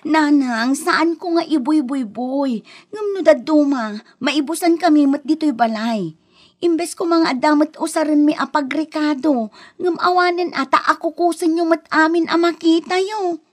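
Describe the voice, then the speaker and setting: A woman's voice: sits high, measured pace. A Filipino woman with an Ilocano accent acting an aggrieved young wife in a radio drama, plaintive and protesting. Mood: defensive. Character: aggrieved young wife, resentful of her in-laws.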